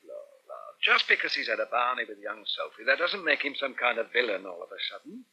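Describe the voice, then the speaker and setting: Husky, forceful, teasing British man. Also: mid-range voice, very animated, a little fast, muffled recording, full character voice. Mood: breezy, blunt. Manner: chaffing, colloquial. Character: blunt, teasing, breezy businessman and partner. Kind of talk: British radio soap acting. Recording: poor-quality old radio recording.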